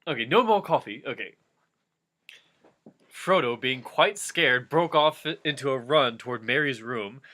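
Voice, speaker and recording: Young guy with a bright sharp voice, reading goofy fiction, wry little comments thrown in. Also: mid-range voice, lively intonation, a little slow. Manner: wry, interjecting. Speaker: co-reader and wisecracking commentator. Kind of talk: casual comedic reading with asides.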